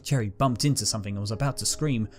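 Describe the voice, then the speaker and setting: A man's voice: lowish voice, fast talker, soft background music. A man narrating a dramatic scripted story as its reader.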